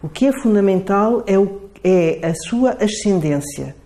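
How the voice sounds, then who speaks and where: Mellow Portuguese woman explaining. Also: mid-range voice, lively intonation, measured pace. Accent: European Portuguese. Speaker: documentary interviewee. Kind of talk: explanatory interview talk.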